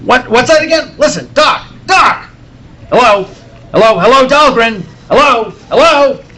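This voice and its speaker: A man acting as a blustering newspaper editor in a radio serial. The voice is high and bright and swings up and down with agitation. He barks out orders and trips over words when rattled. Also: lively intonation, a little slow, heavily acted, poor audio. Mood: urgent, shouting. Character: gruff, excitable newspaper editor, an agitated boss.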